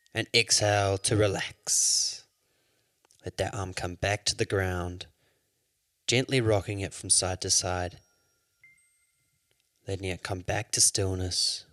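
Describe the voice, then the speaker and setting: A man leading a guided yoga nidra meditation as an instructor, with soothing, measured instructions. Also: fairly low pitch, level tone, a bit bright, no room sound.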